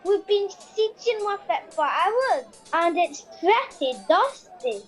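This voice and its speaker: Squeaky-high, chatty Scottish soldier. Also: female voice, very animated, slow, faint music behind, exaggerated voice. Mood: chatty, cheeky. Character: chatty, childlike Scottish soldier telling a siege tale. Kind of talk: radio comedy play acting.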